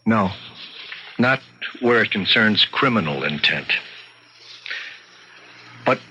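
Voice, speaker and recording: An actor playing a skeptical, blunt physician in an old-time radio mystery, with measured, probing delivery. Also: male voice, lowish voice, expressive, bright, forceful tone, full character voice. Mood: grave, resigned. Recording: dull lo-fi radio drama, faint noise.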